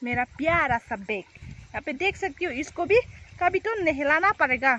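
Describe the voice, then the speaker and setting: A woman with a high voice talks casually to her viewers as a village vlogger, circling back over her phrases. She is recorded on a phone out in the open, with faint noise behind her. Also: lively intonation, moderate pace, pushed and bright, dull audio. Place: outdoors in open rice fields and village. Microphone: phone, at arm's length or set up nearby. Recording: outdoor phone audio with light noise.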